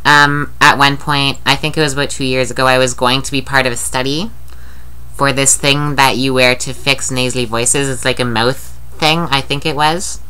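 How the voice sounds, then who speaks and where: A woman's voice: very deep voice, steady tone, normal speed, pushed and bright, smooth. A woman with a nasal voice, talking in a rambling, candid video diary and swearing casually. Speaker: vlogger.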